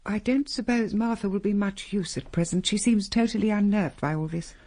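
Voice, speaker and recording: An actress playing a composed, stoical older upper-class lady of the house in a radio drama, measured and restrained. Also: mid-range voice, dark tone, lightly acted. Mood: composed, weary. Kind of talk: radio drama acting and narration.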